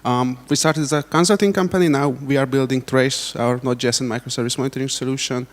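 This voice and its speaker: A man's voice: mid-range voice, steady tone, quick pace. A man with a Hungarian accent introducing himself informally as a panelist. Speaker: panelist, company founder. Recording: reverberant conference hall.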